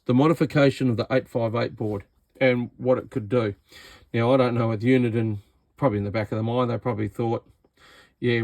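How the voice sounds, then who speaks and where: A man's voice: mid-range voice, vocal fry, darkish tone, no room sound. An Australian man giving casual, hands-on commentary as he inspects equipment, speaking in a blunt, sarcastic way. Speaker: radio repair hobbyist presenting a video.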